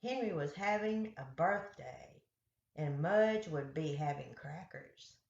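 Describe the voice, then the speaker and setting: A woman reading picture books aloud to young children as a storyteller, with deliberate, simple phrasing. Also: deep voice, animated, creaky, a little mellow. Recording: roomy, echoey, dull lo-fi sound.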